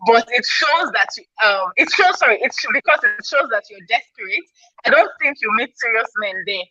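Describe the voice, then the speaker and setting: A woman's voice: a little high, expressive, moderate pace, pushed and bright, no echo, dull audio. A Nigerian woman hosting a casual live podcast, chatty, reading out viewer comments and exclaiming. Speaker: podcast host.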